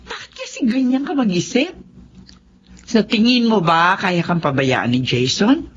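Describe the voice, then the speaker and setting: An actress playing a caring, hopeful friend who scolds emphatically in a radio drama. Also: female voice, mid-range voice, very animated, unhurried, breathy edge. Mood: chiding, concerned. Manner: chiding, emphatic. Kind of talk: Tagalog radio drama acting. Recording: dull lo-fi audio, faint noise.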